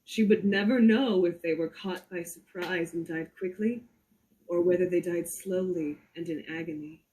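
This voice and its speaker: A woman reads a horror story aloud theatrically, drawing out suspense with deliberate pauses. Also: lowish voice, steady tone, dark tone, low-quality recording. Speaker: performer reading a story aloud. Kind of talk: slow dramatic reading of a horror story.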